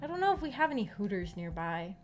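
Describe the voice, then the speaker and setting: An American woman streamer chatting casually in a rambling, halting, giggly way. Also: mid-range voice, very animated. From United States. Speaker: streamer, visual novel book club host. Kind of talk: casual livestream chat. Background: background music.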